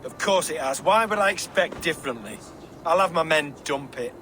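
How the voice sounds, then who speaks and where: A man's voice: on the high side, expressive, normal speed, pushed and bright, a little hiss or hum. An actor playing a weary, sardonic nobleman in a video game, curt and dry in manner. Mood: sardonic, resigned. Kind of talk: video game cutscene acting.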